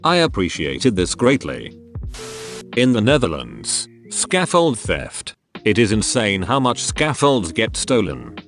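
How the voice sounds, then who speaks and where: A man narrating forum posts aloud as a scripted read-through, with a steady, even pace. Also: lowish voice, slow pace. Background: faint background music.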